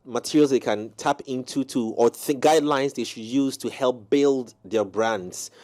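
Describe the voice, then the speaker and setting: Male co-host, mid-pitched; winding, self-correcting questions. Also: measured pace, a little mellow. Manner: long rambling questions, restarts. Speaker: talk show co-host. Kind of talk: panel talk show discussion.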